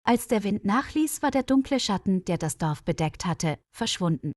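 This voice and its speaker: A woman's voice: mid-range voice, lively intonation, normal speed, a little mellow, dry recording, clean studio sound. A woman reading a scripted story aloud as a storyteller for language learners.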